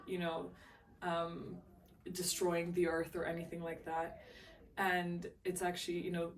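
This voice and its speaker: A young woman who grew up in Luxembourg, answering interview questions hesitantly with many fillers. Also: on the low side. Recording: distant voice with faint background hum.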